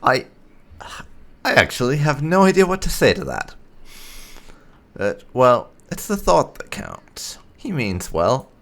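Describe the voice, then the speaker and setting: A man doing playful roleplay commentary over a game. His voice is low and full of fry, swinging hugely in pitch as he improvises replies at a leisurely pace. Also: huge pitch swings, slow, long pauses. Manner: playful, chuckly. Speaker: gaming video host.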